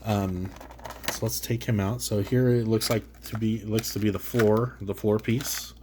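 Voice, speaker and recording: A man's voice: on the low side, steady tone, normal speed, dark tone, slight background noise. A man with a cold, sounding congested, talks casually as he opens and reviews an action figure, full of fillers and restarts. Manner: rambling, filler-heavy, self-correcting. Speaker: toy reviewer, channel host. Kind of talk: casual unboxing chat.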